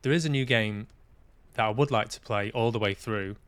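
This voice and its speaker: A man's voice: fairly low pitch, moderate pace, clean tone, dry recording. A man with an English accent hosting a casual livestream, rambling with dry, self-deprecating humour. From Manchester, England. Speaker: podcast host and streamer.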